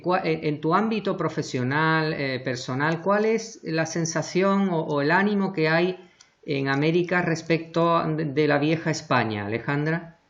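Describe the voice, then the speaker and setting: Male host, formal, halting questions, somewhat high voice, dull lo-fi sound. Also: fairly bright tone. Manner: hesitant, many fillers and restarts, formal. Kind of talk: interview hosting. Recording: muffled lo-fi audio.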